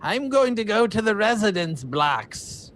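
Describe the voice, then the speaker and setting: A man's voice: high voice, sing-song, a little slow, a bit bright, a little hiss or hum, exaggerated voice. A man playing a haughty young aristocrat adventurer in a tabletop game, speaking in pompous, emphatic, formal phrases. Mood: prim.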